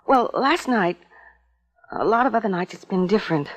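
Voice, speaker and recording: A woman's voice: mid-range voice, expressive, moderate pace, natural voice. A woman playing a troubled young wife haunted by nightmares, earnest and hesitant, in a 1950s radio suspense drama. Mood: anxious, earnest. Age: young adult. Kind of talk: 1950s radio suspense acting and first-person narration.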